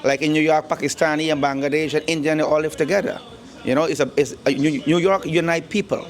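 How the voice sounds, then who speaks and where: A man's voice: a little high, fairly even intonation, quick pace. A middle-aged man from Guyana with a Guyanese accent, chatting easily about his barbershop in an interview and leaning on "you know". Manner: easygoing, repeats words, fillers like "you know". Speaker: barbershop owner. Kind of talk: casual interview. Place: indoor barbershop.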